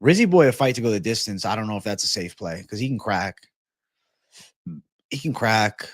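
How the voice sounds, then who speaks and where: A man's voice: lowish voice, lively intonation, normal speed, no room sound, clean studio sound. A young adult man from Massachusetts hosting a casual MMA livestream, chatting loosely and animatedly with bro-heavy slang while reading viewer comments.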